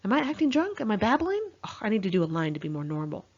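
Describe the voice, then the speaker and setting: A woman's voice: mid-range voice, very animated, fast, a little mellow, breathy edge. A woman reading her memoir and voicing an anxious, paranoid young female friend with fretful, insistent questioning. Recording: muffled lo-fi home recording.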